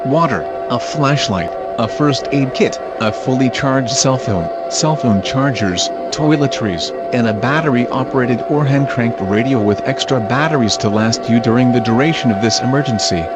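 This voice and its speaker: A man playing a grave, resolute president giving a formal, measured emergency broadcast address. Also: medium pitch, close to an everyday voice.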